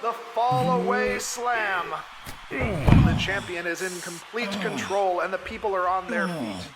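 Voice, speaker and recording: A high-voiced, excitable wrestling commentator in an audio drama. He calls the fight in emphatic, dramatic bursts, with clearly noisy arena ambience behind him. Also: unhurried. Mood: excited. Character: excitable male lucha libre ringside commentator cheering the champion.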